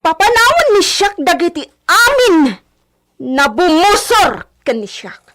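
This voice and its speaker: An actress playing a haughty, domineering young hacienda heiress in an Ilocano radio drama, with an imperious delivery. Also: female voice, very high-pitched, big pitch swings, a little slow, a bit bright, exaggerated voice. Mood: imperious, scolding.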